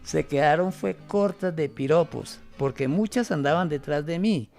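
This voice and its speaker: A man's voice: on the high side, moderate pace, gravelly, a little mellow. An older man with a Colombian accent playing an affectionate, proud and playful grandfather in an amateur radio play. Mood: playful pride. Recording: music under the voice. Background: music.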